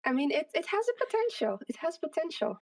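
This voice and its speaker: A woman's voice: high voice, sing-song, fairly quick, a little mellow, far from the mic. A woman speaking casually and thoughtfully as an interview guest, feeling her way through her answers with some hesitation. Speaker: interview guest, indie game creator.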